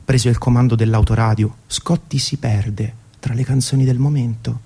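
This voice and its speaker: A man tells a scripted story as a radio presenter. His voice is mellow and soft-edged, sitting at an ordinary male pitch. The delivery is that of a narrator guiding listeners through music. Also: fairly even intonation, normal speed, husky.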